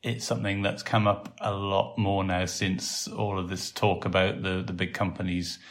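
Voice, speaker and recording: A man with a Welsh accent, hosting a casual podcast and talking in a relaxed, rambling way with many fillers. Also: low-pitched, moderate pace. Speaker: podcast host and site developer.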